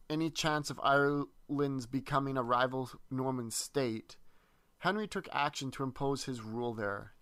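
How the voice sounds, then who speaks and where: Male history presenter, mid-pitched, reads text aloud steadily, close dry recording. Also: fairly bright tone, no echo.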